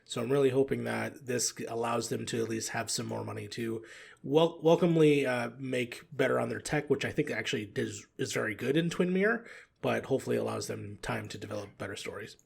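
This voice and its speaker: A man with a creaky, rough-edged voice at an average pitch talks casually as a podcast guest. His delivery is informal and conversational, like a game reviewer among friends. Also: fairly quick. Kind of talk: casual gaming podcast chat.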